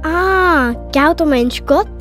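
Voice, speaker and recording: Woman as high-voiced Swiss German little schoolgirl. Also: takes it slowly, fairly bright tone, soft background music. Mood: comforted, trusting. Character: sensitive young schoolgirl, easily hurt, trusting daughter. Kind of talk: children's audio drama acting.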